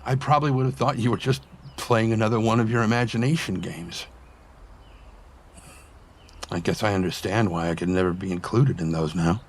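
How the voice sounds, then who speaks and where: Husky, bewildered man played by an actor. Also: fairly low pitch, fairly even intonation, moderate pace, long pauses. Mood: resigned. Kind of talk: scripted cartoon dialogue.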